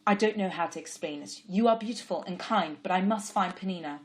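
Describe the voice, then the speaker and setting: A woman voicing an impetuous, lovestruck young man who talks loudly and urgently. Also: on the low side, brisk, lightly acted. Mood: earnest. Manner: loud, urgent calling.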